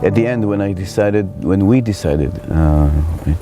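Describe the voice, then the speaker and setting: A man's voice: deep voice, over music. Reflective middle-aged Israeli man. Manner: reflective, halting, searching for words. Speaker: spiritual teacher. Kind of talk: personal life story told to camera.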